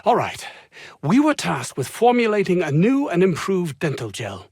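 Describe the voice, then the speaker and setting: Pompous, high-strung scientist, swooping pitch. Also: male voice, wildly swooping pitch, normal speed, a little mellow, husky, no room sound, studio quality, full character voice. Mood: grandiose, boastful. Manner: pompous, wordy, formal diction with flustered hesitations. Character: pompous, anxious, self-important male research scientist. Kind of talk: video game character dialogue.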